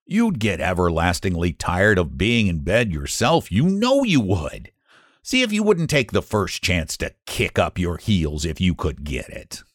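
A man's voice: lowish voice, wildly swooping pitch, measured pace, a bit bright, no room sound, studio quality, heavily acted. A jolly storybook pumpkin, acted by a man, coaxes and wheedles like a born ringleader. The delivery swings freely up and down, with a winning, persuasive manner. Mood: coaxing. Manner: coaxing, winning. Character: jolly, persuasive pumpkin leader of runaway vegetables.

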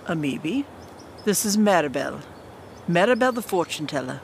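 An actress playing a stern, composed queen and mother, formal, measured and commanding, in a fantasy audio drama. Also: fairly low pitch, very animated, vocal fry, slight background noise. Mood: regal composure.

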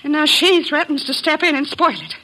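Spurned, vindictive woman, high and dramatic. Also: sharp tone, rough recording. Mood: bitter, threatening. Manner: melodramatic, drawn-out, threatening delivery. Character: jilted, vindictive married woman, a doctor's patient and spurned lover. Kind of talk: old-time radio drama acting.

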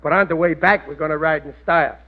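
A boastful working stiff from a 1940s radio sitcom, played by a man, high-pitched and easily indignant. His grammar is rough and his schemes are dim. The recording is thin and tinny. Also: quick pace, close to an everyday voice. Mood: stubborn, indignant. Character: blustering, stubborn working-class family man, dim schemer.

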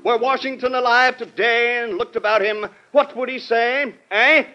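A man's voice: very high-pitched, steady tone, moderate pace, soft background music. An actor playing a pompous, blustering banquet chairman who speechifies in an old-time radio drama. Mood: pompous, rousing. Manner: oratorical, peppered with "eh?". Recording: muffled vintage radio recording.

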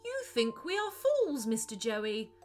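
A woman's voice: a little high, huge pitch swings, unhurried, soft background music. A woman voicing a scheming male rat gang leader who speaks in a stiff, formal way in a children's story. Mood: sly. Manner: formal and stiff, then sly.

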